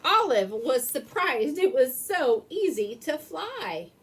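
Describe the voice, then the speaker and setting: A woman's voice: sits high, very animated, slow, slight background noise. A woman narrating a children's storybook expressively, drawing words out for effect. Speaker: storybook reader. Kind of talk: animated children's read-aloud narration.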